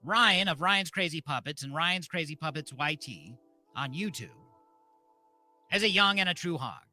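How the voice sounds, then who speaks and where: Guy doing a deadpan fake sermon, kinda high bright forward voice, soft music behind him. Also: moderate pace. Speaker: host and mock officiant of a comedy live show. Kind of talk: mock-solemn scripted ceremonial sermon.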